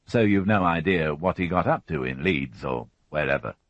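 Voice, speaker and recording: A man voicing a patient, weary male chief inspector who questions calmly and evenly, in a storybook reading. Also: extremely low pitch, moderate pace, dry recording. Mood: questioning. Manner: calm, level questioning. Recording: dull, dry studio audiobook.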